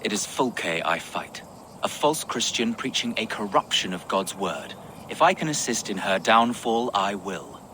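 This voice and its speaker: An actor playing a righteous, resolute older Saxon bishop in a video game cutscene. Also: male voice, lowish voice, big pitch swings, measured pace, sharp tone, a little hiss or hum, full character voice.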